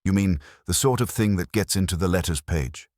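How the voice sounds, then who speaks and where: A man acts out an unruffled police inspector in a mystery audiobook. He speaks very low, mildly and with dry understatement, in a close, dry sound. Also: fairly quick, a little mellow, dry recording, lightly acted. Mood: dry, probing.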